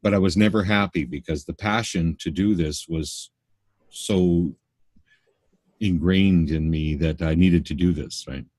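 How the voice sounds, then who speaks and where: A man's voice: sits low, measured pace, darkish tone, dry recording, studio quality. A middle-aged man from Canada, a spiritual workshop teacher, answering interview questions earnestly, often restarting his sentences.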